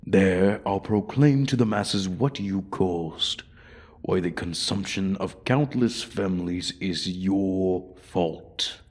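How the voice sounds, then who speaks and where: Casting: male actor as a menacing dragon for fantasy ASMR roleplay, very low husky voice, slow condescending taunts. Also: a little mellow. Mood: hungry menacing. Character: menacing male dragon toying with human prey.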